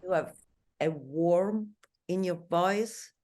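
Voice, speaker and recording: Italian woman interviewer, low-pitched, admiring, halting phrasing. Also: a little mellow. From Italy. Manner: admiring, searching for words.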